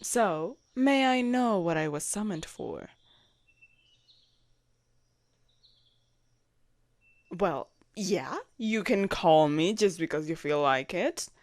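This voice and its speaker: A woman performs as a loyal young female knight in an audio drama. She speaks slowly and courteously, with wide swings in pitch that show how easily flustered she is. The sound is dry and close. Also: lively intonation, dry recording. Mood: composed, courteous. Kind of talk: roleplay audio drama acting.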